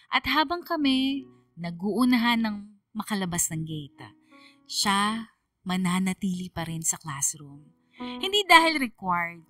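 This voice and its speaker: A woman's voice: mid-range voice, pushed and bright, dry recording, far from the mic. Earnest Filipina schoolgirl over music, voiced by a woman. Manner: earnest, reflective. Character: quiet, grateful twelfth-grade schoolgirl telling her story. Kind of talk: dramatized first-person radio storytelling.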